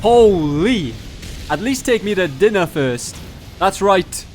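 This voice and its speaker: A man's voice: high-pitched, animated, slow pace, a little mellow. Guy narrating his game run, mock-epic then joking and sweary, midrange voice, bit of music behind. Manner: profane, joking. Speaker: gaming video host. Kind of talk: gameplay narration mixing mock-epic roleplay and casual jokes.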